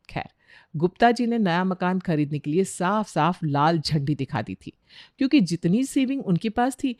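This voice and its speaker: An Indian-accented woman narrates a family moral story from a script. Her voice sits at a medium pitch, and the recording is dry with little room sound. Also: a little mellow, no room sound.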